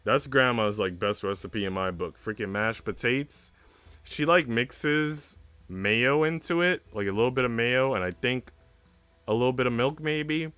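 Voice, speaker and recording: A man's voice: medium pitch, normal speed, a bit bright, clean tone, no echo. A young man streaming, chatting casually in a slangy, laid-back way. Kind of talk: casual livestream chat. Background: music.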